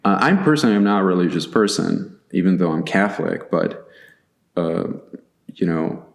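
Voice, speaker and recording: Man, from Chile, deep voice, hesitant casual chat, echoey church hall. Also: expressive, normal speed, vocal fry. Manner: hesitant, many fillers and restarts. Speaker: guest artist. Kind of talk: casual conversation. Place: large empty church sanctuary. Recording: echoey large room.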